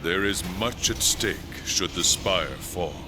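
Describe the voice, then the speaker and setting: Deep-voiced noble angel warrior. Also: male voice, animated, slow, noisy background. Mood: grave, steadfast. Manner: formal, earnest. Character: seasoned male angel warrior, steadfast, noble guardian. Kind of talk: dramatic game cutscene acting.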